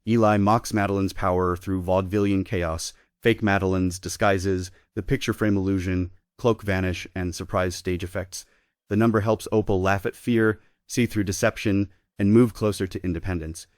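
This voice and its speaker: A man's voice: low voice, steady tone, moderate pace, no echo, studio quality. A man giving scripted narration, reading the stage directions at a table read.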